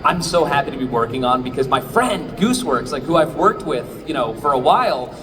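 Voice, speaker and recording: Chatty man with a lively voice. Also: medium pitch, fairly quick, crowd noise. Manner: chatty, lots of fillers. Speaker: voice actor panelist. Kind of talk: convention panel answer. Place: convention panel room. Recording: noisy convention hall.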